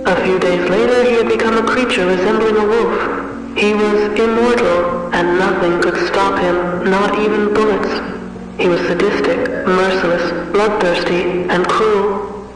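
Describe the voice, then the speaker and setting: A man playing a solemn, measured storyteller recounting a grim fairy tale in analog horror style. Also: high-pitched, fairly even intonation. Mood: grim, ominous. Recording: cavernous long reverb, distant, music behind.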